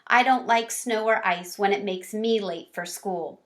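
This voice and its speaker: A woman's voice: mid-range voice, unhurried, a bit bright, lightly acted. A woman voicing a kind, patient female schoolteacher who explains things attentively in a storybook.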